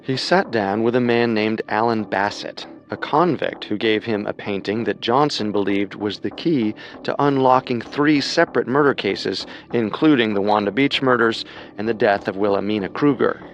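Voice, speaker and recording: True crime host: man, low creaky voice, measured and dramatic, faint music underneath. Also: highs cut off. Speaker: podcast host and narrator. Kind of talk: scripted true crime narration.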